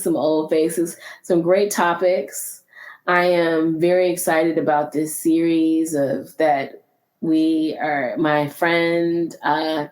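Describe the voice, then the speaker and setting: A woman's voice: low voice, darkish tone, roomy sound. A woman hosting her own podcast, talking alone in an earnest, encouraging way, and sounding nasal from congestion. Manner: earnest, encouraging, repeats herself. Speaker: podcast host. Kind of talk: solo motivational podcast talk.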